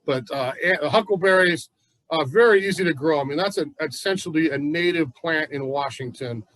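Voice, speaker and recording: A man's voice: fairly high pitch, moderate pace, fairly bright tone, no room sound. A man from the Pacific Northwest giving a chatty, folksy gardening class at a plant nursery. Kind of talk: casual gardening class talk.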